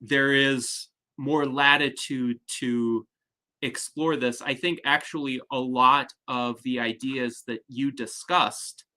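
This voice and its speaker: A man leads an online discussion, his voice bright and forward. He explains ideas in a measured, articulate way over a video call. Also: medium pitch, fairly even intonation. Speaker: host.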